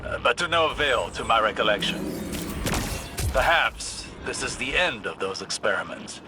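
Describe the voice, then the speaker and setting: An actor playing an older scholar-warrior mentor in a video game, formal, articulate and wry. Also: male voice, mid-range voice, lively intonation, normal speed, close to an everyday voice. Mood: grave, wry. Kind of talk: video game mission dialogue. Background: clear music.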